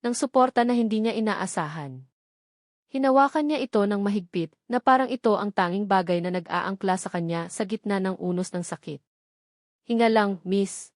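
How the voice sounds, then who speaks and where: Female Filipino audiobook narrator, mid-pitched, dramatic storytelling delivery, close dry sound. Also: a little fast, dry recording.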